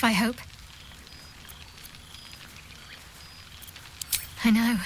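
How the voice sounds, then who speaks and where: A woman's voice: a little high, fairly bright tone, slight background noise, close to an everyday voice. An actress playing an affectionate, teasing young woman adventurer in a video game, with a playful, wry manner. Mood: warm, teasing. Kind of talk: video game character acting.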